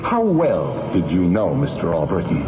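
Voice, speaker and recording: A man's voice: deep voice, wildly swooping pitch, moderate pace, background noise. A stern, insistent courtroom prosecutor in a vintage radio play. He is formal and emphatic, with a wide-swinging mid-range voice. The sound is phone-like and rings in a long hall reverb. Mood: formal, probing. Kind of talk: 1970s radio drama acting. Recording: muffled old radio, echoey hall reverb.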